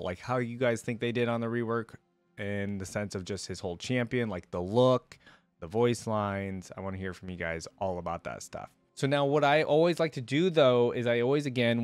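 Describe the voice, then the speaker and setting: A man's voice: fairly low pitch, no room sound. A man hosting a reaction video, commenting casually and speaking to viewers.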